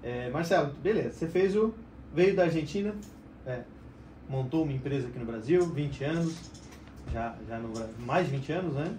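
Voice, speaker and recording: A man's voice: on the high side, expressive, a little mellow, slight background noise. A man from southern Brazil hosting a podcast and asking the guest questions in a casual way. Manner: casual with quick back-channel replies. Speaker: podcast host. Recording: roomy echo, mic at a distance.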